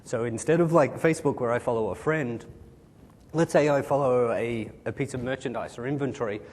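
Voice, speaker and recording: A man presenting at a tech conference on a large stage, talking quickly and informally while losing his voice. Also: a little mellow. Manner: quick, informal, kind-of fillers. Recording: hall reverb, faint rumble.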